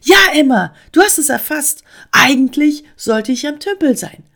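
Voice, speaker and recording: Woman voicing an excitable, high-pitched storybook frog. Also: moderate pace, exaggerated voice. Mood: agitated. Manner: insistent, exclaiming, agitated. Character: excitable, urgent male frog friend in children's story.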